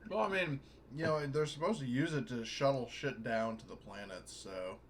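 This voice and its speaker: Mid-pitched man with chatter behind him. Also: moderate pace. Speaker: tabletop game player. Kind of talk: casual explaining.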